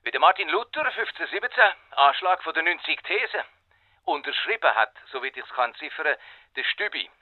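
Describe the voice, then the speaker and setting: An actor playing an easily offended village pastor in a radio play, huffy and formal with a Swiss German accent, through a telephone filter. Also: male voice, on the high side, expressive, fairly quick, no echo. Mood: indignant. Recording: tinny band-limited telephone line.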